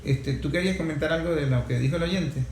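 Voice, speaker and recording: Male radio host, fairly high voice, conversational, echoey room. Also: quick pace, darkish tone. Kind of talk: conversational interview moderating. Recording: roomy echo, faint background noise.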